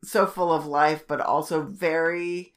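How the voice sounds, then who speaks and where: A woman's voice: low voice, steady tone, unhurried. A woman co-hosting a podcast in casual, conversational talk with her fellow host.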